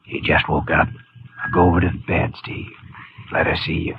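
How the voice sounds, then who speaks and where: An actor playing a calm, serious surgeon in a 1950s radio drama, speaking in a terse, measured, clinical manner. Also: male voice, rumbling low, gravelly. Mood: reassuring. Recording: muffled 1950 radio recording with faint hiss.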